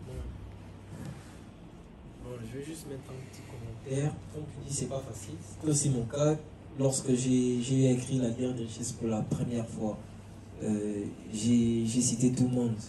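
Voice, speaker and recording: A man with a Congolese accent speaking as a panel guest in measured, halting remarks. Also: mid-range voice, fairly even intonation, background noise. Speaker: panel speaker, manga artist. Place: echoey room at a public event. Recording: echoey hall, distant mic, music behind.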